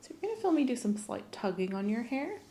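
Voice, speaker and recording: A soft-spoken woman, often whispering, doing an ASMR medical exam roleplay in a gentle, clinical manner. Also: fairly high pitch, sing-song, fast talker, slight background noise. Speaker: ASMR performer playing a medical examiner.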